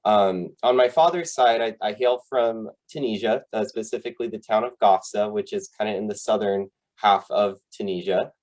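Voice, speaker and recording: Low-voiced man giving an informal talk. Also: moderate pace, hardly any pauses, a little mellow. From United States, Tennessee. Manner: hesitant, polite, conversational. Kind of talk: informal online professional presentation.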